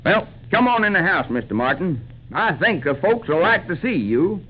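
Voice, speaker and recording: Folksy, forceful frontier townsman, animated delivery, vintage radio play with muffled sound and hiss. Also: high-pitched, normal speed, husky, heavily acted. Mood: hearty. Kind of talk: 1940s radio drama acting. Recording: dull, noisy 1940s radio recording.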